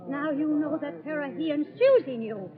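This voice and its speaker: High-voiced, scheming vengeful bride, vintage radio horror. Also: female voice, expressive. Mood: vengeful triumph. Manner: theatrical, deliberate, insinuating. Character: scheming, vengeful young bride luring her guilty husband. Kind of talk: old-time radio horror acting. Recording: tinny, noisy old radio broadcast recording.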